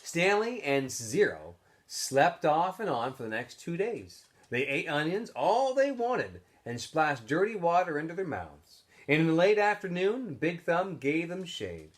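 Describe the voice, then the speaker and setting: Man reading aloud with very lively pitch. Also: unhurried. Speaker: teacher reading aloud to students. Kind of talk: expressive storybook read-aloud narration.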